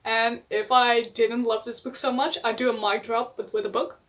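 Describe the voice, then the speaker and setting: A woman's voice: on the high side, little pitch movement, moderate pace, fairly bright tone, a little hiss or hum. A young woman from the Netherlands, chatting enthusiastically in a casual book vlog and laughing often. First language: Dutch. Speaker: book vlogger.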